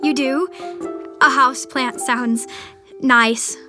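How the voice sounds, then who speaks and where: A woman's voice: high voice, steady tone, takes it slowly, music in the background. An actress playing a hesitant, easily swayed woman trading casual banter in an audio drama. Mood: wry, tentative.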